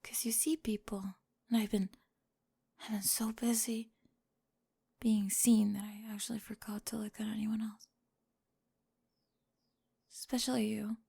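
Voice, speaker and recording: A woman playing a remorseful, lovestruck high school cheerleader in an ASMR roleplay, breathy and hesitant, often dropping to a whisper. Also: flat delivery, a little slow, long pauses, warm tone, exaggerated voice. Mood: remorseful, vulnerable. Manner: hesitant, emotional, confessional. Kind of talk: ASMR romantic roleplay monologue.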